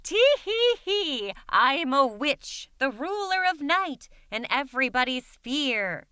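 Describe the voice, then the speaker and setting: A high, shrill witch who cackles and crows about being everyone's fear, as a woman narrator puts her on. The voice is bright and piercing, recorded close and dry. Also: very animated, slow pace, no room sound, full character voice. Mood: gleeful. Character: cackling witch, self-proclaimed ruler of night.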